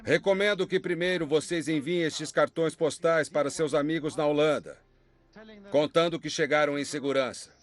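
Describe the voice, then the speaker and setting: A man dubbing a German camp officer in a documentary reenactment, smoothly falsely courteous and then barking orders. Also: fairly high pitch, fairly even intonation, moderate pace, close to an everyday voice. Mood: falsely polite.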